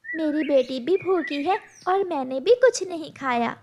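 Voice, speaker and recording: A woman voicing a gentle, earnest mother sparrow in a children's cartoon. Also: high-pitched, unhurried, a little hiss or hum. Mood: tender, concerned. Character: gentle mother sparrow, caring, earnest, storytelling to her daughter.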